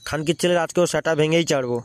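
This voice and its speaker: A man's voice: fairly high pitch, racing, noisy background, natural voice. A man voicing a hot-headed, foul-mouthed club tough guy in a comic cartoon, brash and aggressive. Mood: irritated.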